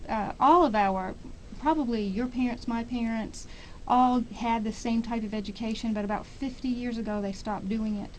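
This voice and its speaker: A middle-aged woman speaking as an interview guest on radio, explaining things conversationally and a little haltingly. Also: a little high, a little mellow, slight background noise, rough recording. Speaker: school representative, interview guest.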